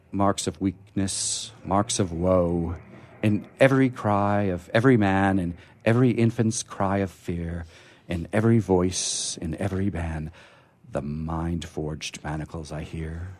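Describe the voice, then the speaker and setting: A man hosting a poetry program, speaking in a halting, expressive way as he talks casually and reads verse. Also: sits low. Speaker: radio show host reading poetry. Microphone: studio microphone he drifts away from.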